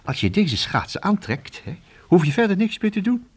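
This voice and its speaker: A man's voice: high-pitched, very animated, moderate pace, slight background noise. A man playing a genial, eccentric older professor-inventor in a children's audio drama, avuncular and slightly pompous. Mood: proud, sly.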